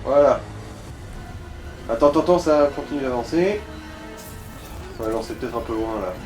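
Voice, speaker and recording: A man's voice: medium pitch, normal speed, over music. Casual gamer guy in an echoey room. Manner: colloquial, coaching, gives tips. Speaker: let's play co-commentator guiding the player. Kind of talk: casual gaming chat. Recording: echoey room, faint background noise.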